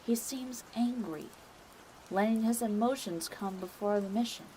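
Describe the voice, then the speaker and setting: A woman's voice: a little high, measured pace, warm tone, a little hiss or hum, poor audio. A woman reading scripted narration for a dramatic audio story.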